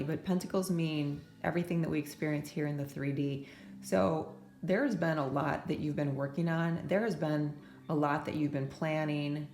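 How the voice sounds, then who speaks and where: A woman's voice: low-pitched, vocal fry, echoey room. A woman giving a soothing pick-a-card tarot reading, her voice a bit scratchy from allergies. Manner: soft, soothing spiritual talk with many "right?" asides. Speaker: tarot reader.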